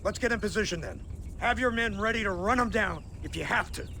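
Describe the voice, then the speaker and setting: A man's voice: sits high, fairly quick, a little hiss or hum. A man playing a stern government agent who gives curt, clipped orders. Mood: cold, commanding. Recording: game soundtrack with loud music and gunfire.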